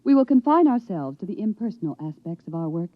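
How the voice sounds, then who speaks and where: A woman's voice: mid-range voice, big pitch swings, fast, dry recording. An actress playing a cold, controlled woman professor in 1950s radio drama, with clipped, clinical and formal diction. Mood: cool, clinical. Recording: muffled old radio recording.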